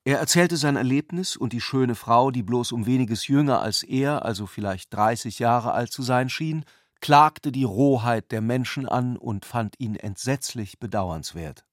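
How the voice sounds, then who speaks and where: A man reading literary narration for a radio drama in a measured, articulate way. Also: medium pitch, dry recording, clean studio sound.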